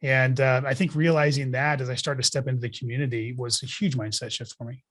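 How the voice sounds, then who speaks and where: A man's voice: mid-range voice, fairly even intonation, quick pace. A man in his forties talking as a guest in an interview, blunt and emphatic, giving motivational advice with casual swearing. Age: 40s. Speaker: podcast guest, real estate investor.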